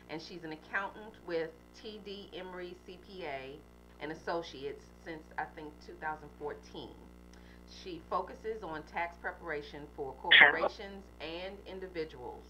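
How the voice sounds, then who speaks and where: A woman hosts a talk radio show in a chatty, upbeat manner, sprinkling in fillers as she goes. Her voice sits a little low, with a faint hum underneath.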